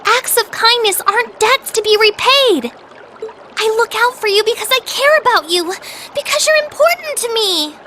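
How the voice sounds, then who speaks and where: An actress voicing a caring, polite teenage younger sister in a video game, with an earnest, proper way of speaking. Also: female voice, extremely high pitch, lively intonation, moderate pace, very noisy. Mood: upset, pleading. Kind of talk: video game cutscene voice acting. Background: trickling water ambience.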